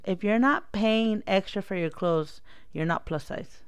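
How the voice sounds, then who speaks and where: A woman's voice: medium pitch, a little slow, smooth. A woman in her thirties chatting casually as a podcast co-host, blunt and teasing, laughing easily and slipping into Spanish now and then. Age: 30s. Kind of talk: casual chat.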